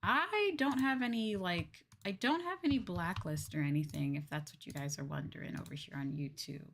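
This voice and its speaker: A woman's voice: on the low side, animated, moderate pace, a little hiss or hum. A woman livestreaming a game with casual commentary, chatting to viewers and rambling as she thinks aloud. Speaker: livestreamer playing a farming game.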